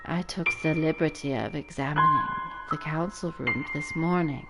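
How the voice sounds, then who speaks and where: A woman voicing a cold, uninterested male bird doctor who speaks formally and flatly in a visual novel. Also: sits low, normal speed. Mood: cold, detached. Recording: loud game music behind voice.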